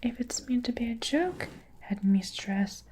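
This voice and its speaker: A woman whispering the lines of a gentle young teacher, quiet and measured. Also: a little high, animated, a little hiss or hum, voice pushed up. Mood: disapproving.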